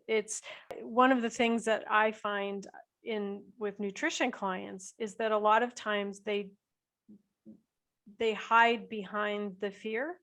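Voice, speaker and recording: A woman hosting a podcast, a nutrition coach who reflects in a measured, conversational way. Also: mid-range voice, creaky, fairly bright tone. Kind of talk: conversational interview.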